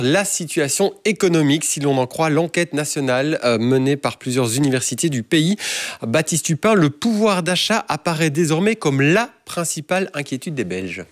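A man presenting the radio news in a crisp, formal delivery. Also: a little high, expressive, moderate pace, dry recording, clean studio sound. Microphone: studio mic.

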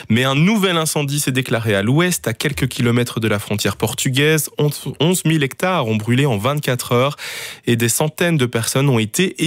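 A man reading a radio news bulletin as anchor, in a steady, measured way. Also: mid-range voice, no echo, studio quality.

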